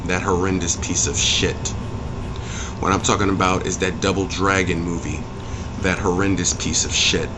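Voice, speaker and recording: A man's voice: deep voice, level tone, normal speed, noisy background. A man hosting an opinionated review rant, speaking in an emphatic, blunt, profane way and repeating phrases for punch. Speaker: video game movie reviewer and channel host.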